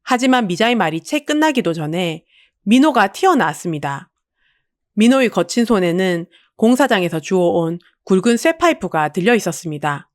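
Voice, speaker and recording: A woman delivers dramatic scripted audiobook narration. Her pitch moves widely through each sentence, and the recording is dry and close, with no room sound. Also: sing-song, moderate pace, no echo.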